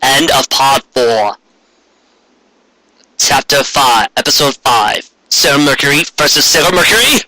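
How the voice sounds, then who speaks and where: A man's voice: high voice, bright, forceful tone, no room sound, poor audio. A man reading fan fiction aloud in a theatrical, comedic style with an exasperated, hammy manner.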